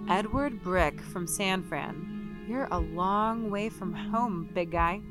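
A woman's voice: medium pitch, slow pace, close to an everyday voice. A woman playing a sly, flirtatious cat burglar, teasing and sardonic, in a table read. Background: music.

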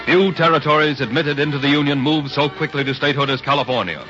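A man's voice: a little high, quick pace, music in the background. A man narrating in a grand, declamatory 1930s dramatic radio style. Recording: muffled, hissy 1930s radio recording.

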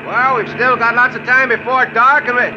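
A man playing a calm, matter-of-fact pilot in a 1950s radio drama. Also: extremely high pitch, moderate pace. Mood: focused. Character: steady male pilot, calm and practical. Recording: muffled old radio broadcast with hiss.

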